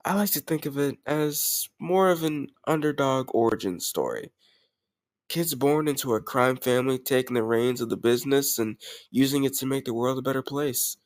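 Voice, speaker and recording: A man acts out a self-assured mafia boss who adores his partner. He speaks in a mid-pitched male voice, affectionate and confident, as intimate romantic roleplay audio. The sound is dry, with hardly any room on it. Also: moderate pace, no echo, lightly acted. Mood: loving, proud. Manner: affectionate, self-assured, addressing a lover directly.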